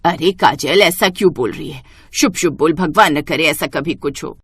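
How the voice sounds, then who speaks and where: Woman acting a caring mother-in-law, lowered pitch. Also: normal speed, a bit bright, breathy edge, dry recording, studio quality, full character voice. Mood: chiding. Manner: reassuring, chiding.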